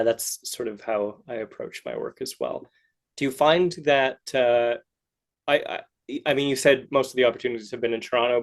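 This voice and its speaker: A man hosting a casual interview, asking questions in a halting way and often rephrasing them midway. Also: mid-range voice, vocal fry. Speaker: podcast host, interviewer.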